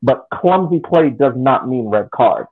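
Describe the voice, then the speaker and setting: Husky man on a tinny line. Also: medium pitch, animated, measured pace. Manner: methodical, argues through hypotheticals. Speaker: podcast co-host. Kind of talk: casual sports debate.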